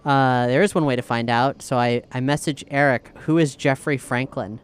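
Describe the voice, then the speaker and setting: A woman speaks as a player in a tabletop game, with a smooth, clean voice. She is casual and blunt, quick to question things and free with swearing. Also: rumbling low, fairly even intonation. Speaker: tabletop role-play player.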